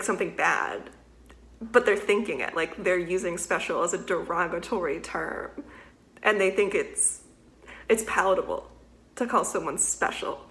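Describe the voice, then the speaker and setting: A woman in her twenties hosting a reaction video, chatty, laughing often and throwing in quick comments. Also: on the low side, fairly bright tone, poor audio.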